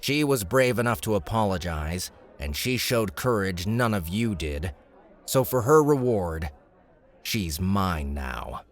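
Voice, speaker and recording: A man's voice: lowish voice, animated, slow. A man voicing a shrewd, smug young noble in an audiobook, speaking in a glib, formal, commanding way. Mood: stern, commanding. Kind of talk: audiobook character dialogue.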